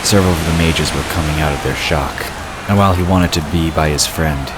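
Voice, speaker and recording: A man narrating a fantasy story from a script in a measured, dramatic storytelling manner. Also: a bass voice, heavy background noise.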